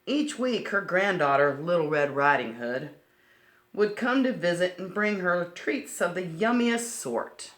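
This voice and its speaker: Low-voiced, animated woman reading a storybook. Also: unhurried. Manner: simple, child-directed explaining. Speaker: children's storytime reader. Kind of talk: expressive picture-book read-aloud with asides to children. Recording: roomy, echoing sound.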